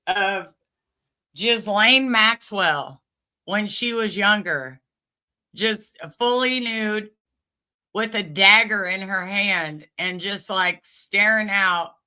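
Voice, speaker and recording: Female vlogger, bright forward voice, informal and conversational, talking straight to the audience as host. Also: mid-range voice, slow. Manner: informal, conversational, addresses listeners directly. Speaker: host of a commentary channel. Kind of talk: casual chatty commentary to viewers.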